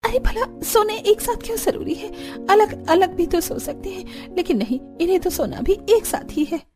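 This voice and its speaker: Fed-up cartoon village bride, voiced by a woman: very high, emphatic, complaining, soft music behind. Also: lively intonation, moderate pace. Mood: protesting. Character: young village daughter-in-law, fed-up and scheming.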